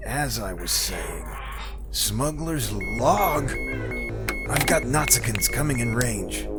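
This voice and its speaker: A man plays a cocky male smuggler captain in a science fiction audio drama. He is wry and sardonic, with a middling pitch, and music sits behind his voice. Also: lively intonation, takes it slowly. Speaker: narrator and lead actor. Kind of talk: audio drama acting and scripted narration.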